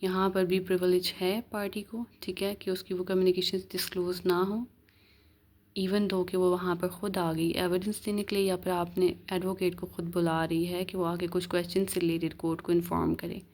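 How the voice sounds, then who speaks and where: A woman's voice: lowish voice, flat delivery, a little fast, a little mellow. A woman with a Pakistani accent giving an explanatory law lecture, mixing in English phrases and often checking that listeners follow. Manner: mixes English legal phrases into speech, frequent check-ins. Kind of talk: explanatory lecture, reading legal text aloud and explaining it.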